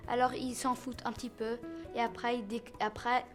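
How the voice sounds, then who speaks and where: A woman's voice: fairly high pitch, level tone, moderate pace. A young woman answering casually in an interview, informal and a little hesitant. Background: music.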